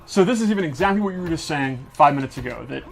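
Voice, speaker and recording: A man's voice: a little high, animated, racing, vocal fry, soft background music. A man giving a casual, enthusiastic gameplay demonstration with slangy wording, as a game developer would.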